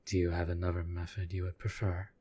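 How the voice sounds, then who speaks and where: Man playing a deep, husky devoted demon. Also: flat delivery, mellow. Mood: hesitant, curious. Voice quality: low, husky, breathy. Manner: slow, formal, courtly phrasing. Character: devoted, lovestruck male demon bound to a summoner. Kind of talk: intimate audio roleplay addressed to the listener.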